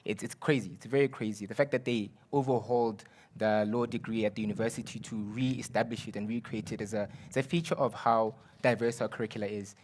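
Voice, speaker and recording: Young guy from south africa on a panel, quick and persuasive, lowish voice. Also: steady tone, darkish tone, a little hiss or hum. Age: young adult. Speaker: student representative. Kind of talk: panel discussion.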